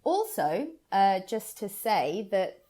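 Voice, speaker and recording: A woman hosting a conversation as a theatre producer, addressing listeners in an informal, softly hedged promotional chat. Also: medium pitch, big pitch swings, takes it slowly.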